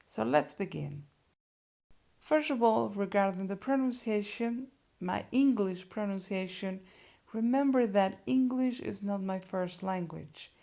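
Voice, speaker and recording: Woman, unhurried teaching pace, gravelly fry in the voice, language teacher. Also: mid-range voice, expressive, warm tone. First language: Spanish. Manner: slow and deliberate, checks in with "okay?". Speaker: Spanish teacher. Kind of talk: language lesson.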